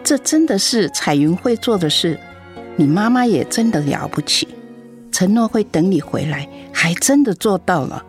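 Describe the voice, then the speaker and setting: An actress playing a kind, comforting middle-aged auntie in a Mandarin radio drama. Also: female voice, medium pitch, animated, normal speed, soft background music, close to an everyday voice. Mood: tender, admiring.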